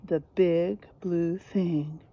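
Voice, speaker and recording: A woman reading a picture book aloud like a teacher, expressive and dramatic, pausing to put questions to young listeners. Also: lowish voice, dry recording. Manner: animated, dramatic delivery with drawn-out words.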